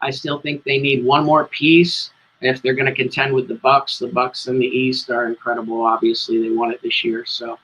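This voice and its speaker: A middle-aged American man with a bright, forward voice chats casually in an interview. He is talkative and self-deprecating. The sound is a little dull and lo-fi, as on a video call. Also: medium pitch, flat delivery, normal speed, few pauses, breathy edge. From Connecticut, United States. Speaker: guest, tribute band singer. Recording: dull lo-fi audio.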